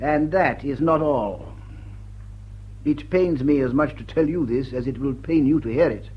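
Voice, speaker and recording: An actor playing a pompous, self-important lord in a radio drama, halting and repeating himself as he frets. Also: medium pitch, breathy edge. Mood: pained, solemn. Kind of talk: stage-comedy radio drama acting. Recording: dull, muffled radio drama recording.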